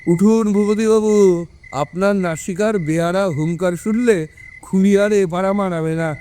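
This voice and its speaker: Man playing sardonic detective's companion, irritated, scolding, high mellow voice, clear, audio drama. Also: unhurried. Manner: sarcastic, scolding.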